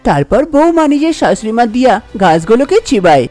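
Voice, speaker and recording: A woman's voice: on the high side, very animated, fast talker, warm tone, faint music behind. A woman storyteller reading scripted narration for a cartoon folk tale.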